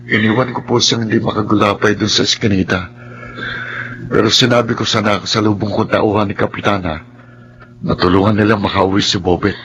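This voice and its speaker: A man's voice: fairly low pitch, flat delivery, brisk, background noise, natural voice. A man acting an earnest, respectful, brave young son in a Filipino radio drama. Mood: calm, reassuring. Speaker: drama actor and first-person narrator. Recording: loud music under the voice.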